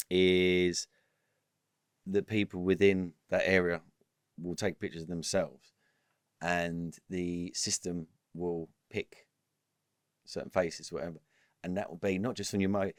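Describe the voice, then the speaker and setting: A low-voiced man talks business on a podcast, animated and rambling, often restarting his sentences. The sound is close and dry, with no room around it. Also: no room sound. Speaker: podcast guest, company executive. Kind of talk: casual business interview.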